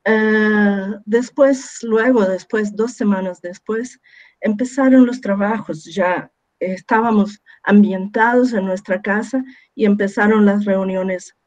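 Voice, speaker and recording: A woman's voice: mid-range voice, slow, a little mellow. Chilean woman with a Portuguese-tinged accent. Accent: Chilean Spanish with strong Brazilian Portuguese influence. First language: Spanish, long living in Brazil. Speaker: architect and webinar speaker. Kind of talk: presenting a talk on a video call.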